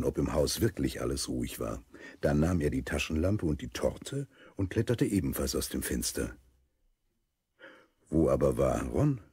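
A man's voice: a bass voice, a little mellow, slightly rough, dry recording. A man narrating a German children's audio drama as a scripted storyteller.

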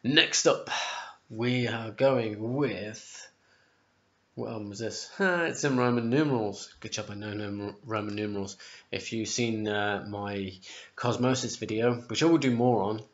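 A man's voice: lowish voice, measured pace. A man in his forties with a sore throat, chatting casually and rambling to a webcam in his home kitchen, often correcting himself. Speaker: music vlogger. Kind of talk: casual album ranking vlog. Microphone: webcam at close range. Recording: dull lo-fi webcam audio.

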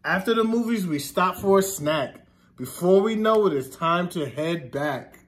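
Slow, high-voiced man reading to children. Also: expressive. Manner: sing-song, deliberate, stressing repeated words. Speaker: teacher reading aloud to children. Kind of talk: children's picture book read-aloud. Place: classroom, indoors.

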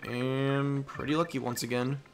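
A man's voice: mid-range voice, fairly even intonation, a little slow, poor audio. A man hosting a let's play, giving rambling, offhand commentary in a casual style.

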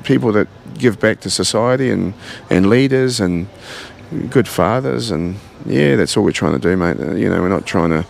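A man in his forties with a New Zealand accent, talking earnestly and haltingly about his gym in a casual interview, recorded on a handheld mic in a large indoor gym. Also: on the low side, lively intonation, vocal fry, a little hiss or hum. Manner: earnest, halting, many fillers and restarts. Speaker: gym owner.

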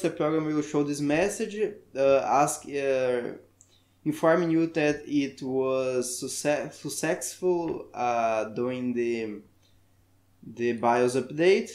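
A man from Brazil with a Brazilian Portuguese accent, a native Portuguese speaker, talking through a software tutorial in halting English and searching for words. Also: mid-range voice, roomy sound. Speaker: tech tutorial maker. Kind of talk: screen-recorded software tutorial.

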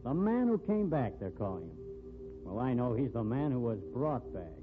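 An actor playing a stern, jealous, blunt friend, lecturing forcefully in 1950s radio style. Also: male voice, mid-range voice, big pitch swings, moderate pace, music in the background, lightly acted. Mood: scornful warning. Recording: tinny, noisy 1950s radio recording.

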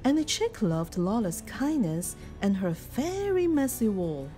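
A woman reading a children's picture book aloud in an expressive, deliberate storytelling manner. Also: medium pitch, soft background music. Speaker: storybook reader.

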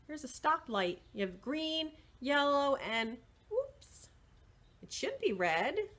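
A middle-aged woman reading a picture book aloud to young children, expressive and deliberate, with short asides to the listeners. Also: high voice. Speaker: children's library storyteller. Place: indoors, small room with bookshelves.

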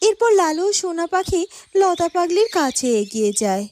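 Very high, expressive woman narrator. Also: moderate pace, very noisy. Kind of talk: scripted fairytale narration for cartoon.

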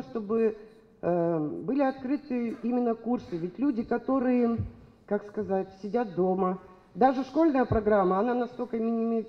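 An older woman, a local resident, earnestly putting a question from the audience at a public meeting. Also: on the high side. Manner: earnest, pleading. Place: large public hall. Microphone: boom microphone held out. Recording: dull hall reverb.

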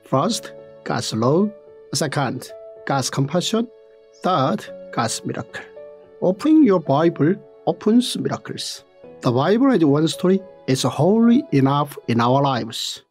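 A narrator reading a scripted Bible study in a measured, deliberate way. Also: medium pitch, very animated, darkish tone, soft background music.

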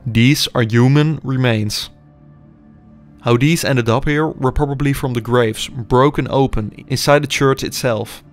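A young man reads scripted documentary narration in a measured, explanatory way. His voice sits at an ordinary middle pitch, and faint music plays underneath. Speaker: urban explorer narrator.

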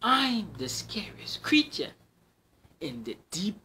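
Mały, sprytny mysz-chwalipięta o piskliwym, wysokim głosie, grany przez kobietę z trynidadzkim akcentem, mocno skacząca intonacja, lekki szum w tle. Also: female voice, sing-song, slow pace, a little hiss or hum, full character voice. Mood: boastful. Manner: squeaky, bright and boastful. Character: tiny clever boastful male mouse.

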